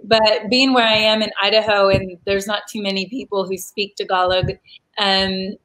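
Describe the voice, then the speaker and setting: A woman's voice: mid-range voice, little pitch movement, normal speed, a bit bright, rough recording. A young woman from the United States, talking casually as an interview guest, chatty with many fillers and laughs.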